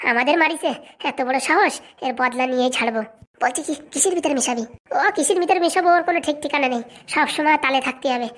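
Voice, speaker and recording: A woman's voice: high-pitched, a bit bright. A woman voicing a sly, vengeful young woman in a comic cartoon, scheming in colloquial, conspiratorial talk.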